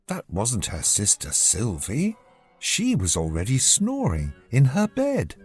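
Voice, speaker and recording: A storyteller giving a measured, expressive scripted narration of a children's bedtime story. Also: medium pitch, husky, soft background music.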